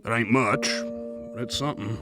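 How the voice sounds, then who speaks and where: A man playing a gruff but easygoing, weary gunslinger in a Western audio drama, with a folksy, laconic drawl. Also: mid-range voice, moderate pace, music in the background, lightly acted. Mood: reluctant.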